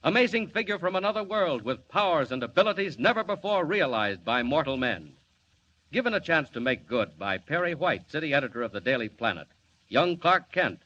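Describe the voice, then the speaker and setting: Bright, declamatory radio serial announcer. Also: male voice, a little high. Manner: grand, declamatory, suspenseful. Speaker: announcer and narrator. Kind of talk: dramatic 1940s radio serial narration. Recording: muffled, hissy old 1940s radio recording.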